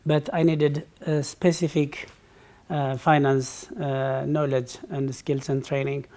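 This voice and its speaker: A man's voice: a little high, little pitch movement, slow pace, darkish tone. A middle-aged man from Ethiopia, a guest in a conversational interview, who answers thoughtfully with frequent fillers and restarts.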